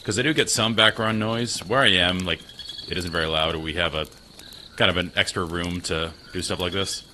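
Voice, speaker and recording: A man with a deep voice chats easily to his viewers from a small spare room. His tone comes across sharp and bright through a slightly tinny mic. A faint hiss sits underneath. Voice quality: low voice. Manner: chatty, self-deprecating, talks to chat. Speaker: gaming streamer. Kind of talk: casual live-stream chat. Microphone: desk mic.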